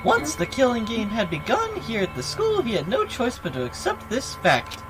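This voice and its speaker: Game villain bear, performed by a woman: gleeful, mocking storyteller, hugely animated delivery, clear music underneath. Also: a little high, pitched above the performer's own voice. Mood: sly storytelling. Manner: theatrical, mocking, drawn-out announcements. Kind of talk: dubbing video game dialogue aloud.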